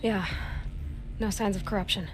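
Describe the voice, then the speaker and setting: A woman playing a young adventurer in a video game, guarded and searching, in a hushed, breathy, wary way. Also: medium pitch, fairly even intonation, normal speed, faint music behind, close to an everyday voice. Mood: wary, focused.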